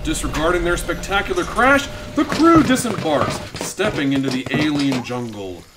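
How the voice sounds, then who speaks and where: A man's voice: fairly high pitch, expressive, normal speed, music in the background, lightly acted. A man playing a wry, dramatic narrator, giving theatrical comic narration for a sci-fi audio drama. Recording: loud background ambience noise.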